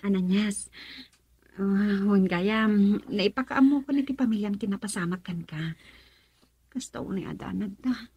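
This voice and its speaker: A loyal young friend in a Filipino radio drama, very breathy and husky, in a dry close sound. She is frank and consoling, with a medium-pitched voice. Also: moderate pace, long pauses, darkish tone, no room sound. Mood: hesitant.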